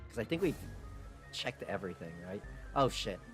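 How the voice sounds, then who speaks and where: A man playing a game and commentating casually, swearing and getting excitable as he goes. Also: lowish voice, moderate pace. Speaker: streamer, main player. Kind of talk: casual gameplay commentary and dramatic read-aloud. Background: background music.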